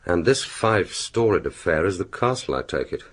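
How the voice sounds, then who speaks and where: An actor playing a cool, dry-witted British secret agent in a radio drama, speaking in a clipped, understated, wry way. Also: male voice, low-pitched, expressive, moderate pace, fairly bright tone. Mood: inquisitive.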